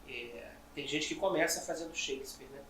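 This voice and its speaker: A man's voice: a little high, normal speed, a little hiss or hum. A man hosting an interview, asking long, admiring questions in a conversational tone. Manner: warm, admiring, asks long rambling questions. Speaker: interviewer, host. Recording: roomy echo, distant mic, faint ambience.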